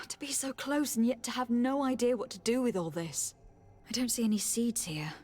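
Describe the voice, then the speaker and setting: A woman playing a courteous, inquisitive noblewoman in a fantasy video game, formal and thoughtful, with a husky voice. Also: fairly high pitch, moderate pace, soft background music, close to an everyday voice. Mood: thoughtful, composed. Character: courteous, inquisitive young noblewoman envoy and adventurer. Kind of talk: video game dialogue acting.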